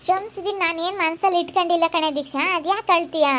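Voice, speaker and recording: Woman as forgiving elder sister, very high pitch, consoling, concerned, insistent, amateur drama. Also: fast, a bit bright, a little hiss or hum.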